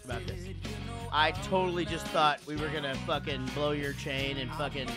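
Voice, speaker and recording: A man hosting a live-streamed show, chatting casually in a rambling, excitable way with plenty of swearing. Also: fairly high pitch, normal speed, loud music behind the voice. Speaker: podcast host.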